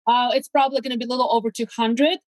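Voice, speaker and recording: A woman's voice: a little high, steady tone, very fast, darkish tone, no room sound. A woman from Latvia with a Latvian accent, speaking English as a second language, talking as an eager guest on a casual interview and repeating words as she searches for phrasing. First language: not English. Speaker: guest real estate agent.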